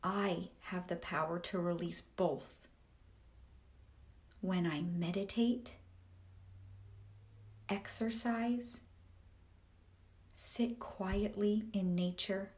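A woman reading scripted guided meditation affirmations in a deliberate, measured way, in short phrases with pauses. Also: medium pitch, fairly even intonation, darkish tone.

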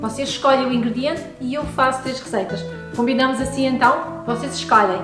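A woman's voice: high-pitched, brisk, music in the background. A woman in her thirties with a European Portuguese accent, hosting a cooking tutorial and talking directly to her viewers in a friendly, instructive way. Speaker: cooking channel host. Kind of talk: recipe tutorial voice-over. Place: home room with bookshelves.

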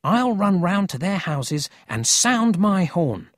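A narrator voicing a cocky, excitable but friendly city boy in a children's storybook, brash and eager. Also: mid-range voice, takes it slowly, dry recording, studio quality, voice pushed up.

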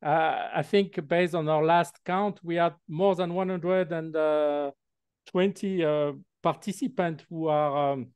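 Guy hosting a video call, kinda high voice, dry sound, lots of uhs and restarts. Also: steady tone, takes it slowly, a little mellow, clear, resonant tone, no room sound. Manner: hesitant, many fillers and restarts. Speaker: meeting co-host. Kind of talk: hosting an online video meeting.

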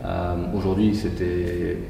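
A man's voice: sits low, monotone, slow pace, creaky, dark tone, a little hiss or hum. A man in his forties, a guest in a casual interview, explaining his routine methodically step by step. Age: 40s. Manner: methodical, talks it through step by step. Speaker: guest, gym entrepreneur. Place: large indoor gym hall. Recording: echoey gym hall from far off.

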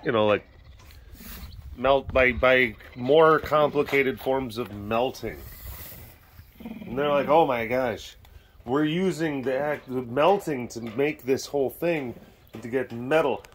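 A man with a slightly hoarse voice rambles casually while filming outdoors at animal enclosures, talking softly to the animals between thoughts. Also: medium pitch, slow pace, slight background noise. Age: adult, getting older. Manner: rambling and chatty, softening into baby talk with the animals. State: slightly hoarse, partly lost voice. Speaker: big cat sanctuary keeper and vlogger. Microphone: handheld camera mic, speaker off camera.

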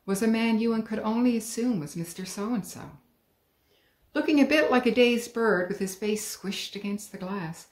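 A middle-aged woman, an author, reading her children's book aloud at home in a calm, clear storytelling manner. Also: mid-range voice. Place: living room at home. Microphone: webcam, head and shoulders. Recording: roomy living room.

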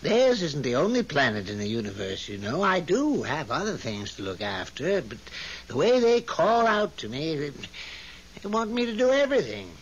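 A man's voice: on the high side, huge pitch swings, normal speed, husky, heavily acted. A man playing a flustered, put-upon God with a fussy, exasperated manner in a 1970s radio drama. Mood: exasperated, plaintive. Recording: muffled, hissy old radio recording.

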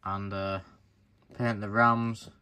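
Male hobby reviewer, low-pitched but bright and forward, casual and explanatory delivery. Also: little pitch movement, takes it slowly, clean tone. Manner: informal, explanatory, addressing viewers directly. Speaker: presenter of a farm model review channel. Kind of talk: casual hands-on review narration.

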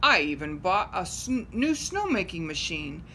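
Rich: A gloomy, folksy ski resort owner from a children's storybook, put on by a woman reader, drawing out his words as he grumbles. His voice swings from low mutters to high complaints. A faint hum lies underneath. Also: very animated, a little slow, pushed and bright, heavily acted. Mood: grumbling.

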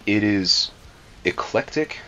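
A man's voice: fairly low pitch, expressive, slow, sharp tone, lo-fi sound. A man from Atlanta, a drummer, chatting casually with lots of "like" and "um" in a music studio. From Atlanta, USA. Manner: talkative, filler-heavy, rambling. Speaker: band drummer. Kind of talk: casual interview talk.

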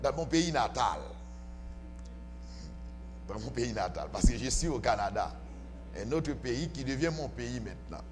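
A man's voice: mid-range voice, lively intonation, measured pace, pauses often. A man preaching to a congregation as pastor, emphatic and often asking questions, on a handheld mic in a church. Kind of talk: sermon. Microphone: handheld microphone, filmed from far camera. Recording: church hall reverb with faint music.

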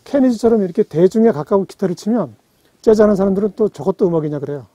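Man, forties, eager music talker, fast and high-pitched, dark dry tone. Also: animated, dry recording. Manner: eager, explains at length with many fillers. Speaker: music enthusiast guest. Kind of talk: casual chat. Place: outdoors in a rural mountain yard. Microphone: far camera.

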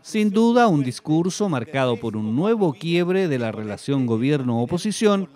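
A man's voice: fairly high pitch, sing-song, unhurried, a little mellow. Chilean man reading a news report. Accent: Chilean Spanish. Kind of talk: scripted news narration.

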